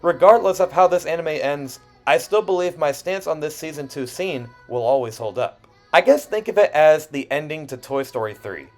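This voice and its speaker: A man narrating a personal video essay in an expressive way, with self-deprecating asides and dramatic pauses. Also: on the high side. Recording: music under the voice. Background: background music.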